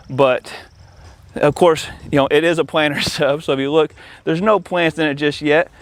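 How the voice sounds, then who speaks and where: A man giving an informal, conversational product showcase outdoors in a backyard, addressing viewers casually as an installer. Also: on the high side. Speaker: audio-video installer presenting for company channel. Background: music.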